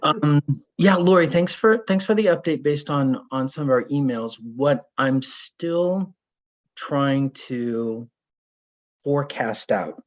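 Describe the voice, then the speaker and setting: A hoarse man speaking up at a public hearing, raising deliberate, probing questions with some restarts over a video call. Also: a little high. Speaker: resident commenting at public hearing. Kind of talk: remote public meeting comment. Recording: dull lo-fi video call audio.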